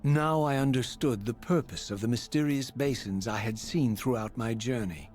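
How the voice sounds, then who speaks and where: A man's voice: medium pitch, moderate pace, a little mellow, faint music behind, close to an everyday voice. A man playing a tormented, eloquent vampire wraith in a video game, narrating with grave, formal, theatrical diction. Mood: pensive, resolute. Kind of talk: dramatic scripted game narration.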